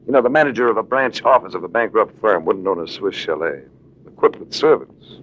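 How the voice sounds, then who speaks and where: An actor playing a shrewd, self-assured adventurer-investigator with a dry, needling manner in a late-1940s radio drama. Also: male voice, fairly low pitch, lively intonation, a little fast, fairly bright tone, highs cut off. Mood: cool accusing. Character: shrewd, sardonic male adventurer-investigator accusing suspects. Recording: noisy vintage radio recording.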